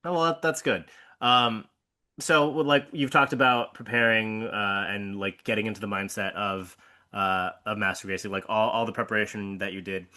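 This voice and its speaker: A man with a bright, forward voice pitched a little low hosts a casual interview. He asks his questions in a friendly way, hesitating often with ums and restarts as he works toward the point. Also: steady tone, normal speed. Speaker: interviewer and project host.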